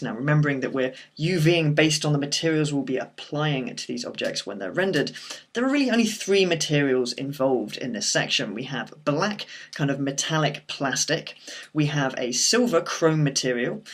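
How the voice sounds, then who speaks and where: A man with a croaky voice from a sore throat, talking through a screen-recorded software tutorial in a steady explanatory way. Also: medium pitch. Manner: steady explanatory walkthrough with occasional fillers.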